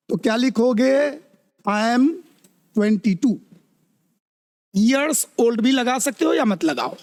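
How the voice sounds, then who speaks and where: Very slow-talking male teacher in a reverberant room. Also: very high-pitched. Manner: slow, drawn-out words, constantly checks understanding with questions. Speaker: English teacher. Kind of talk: spoken English lesson explained in Hindi.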